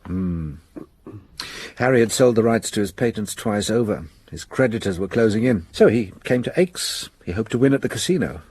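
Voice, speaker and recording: A shrewd police detective, explaining the case step by step. His voice sits fairly low and sounds husky, in the manner of a radio drama. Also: expressive, moderate pace, a little mellow. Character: shrewd middle-aged male French police detective.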